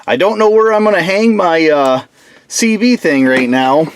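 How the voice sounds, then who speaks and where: A man's voice: high-pitched, moderate pace, slight background noise. A man hosting a DIY build video, talking informally and folksily through a casual hands-on demonstration. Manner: informal, folksy, mild slang. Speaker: host of a DIY truck build channel.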